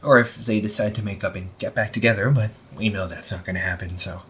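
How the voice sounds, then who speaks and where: A man playing an anxious, wry young supervillain in a casual audio roleplay, talking informally with exasperated sighs and halting phrases. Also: on the low side, fairly even intonation, a bit bright, close to an everyday voice. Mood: wry, resigned. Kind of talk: amateur audio roleplay, casual conversational acting.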